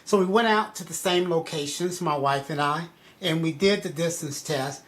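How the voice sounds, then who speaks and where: A man's voice: fairly high pitch, normal speed. A man giving a casual product review in a conversational way, repeating points for emphasis.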